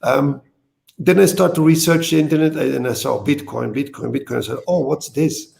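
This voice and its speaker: Gravelly German-accented man, halting speech. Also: fairly high pitch, darkish tone. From East Germany. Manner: hesitant, repeats words, non-native phrasing. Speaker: guest. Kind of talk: casual interview talk. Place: video call.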